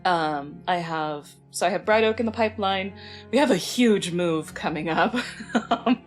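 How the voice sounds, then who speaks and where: Playful, lowish-voiced woman on stream. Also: a little slow. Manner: playful, teasing. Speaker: game developer and stream co-host. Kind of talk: casual chat. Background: background music.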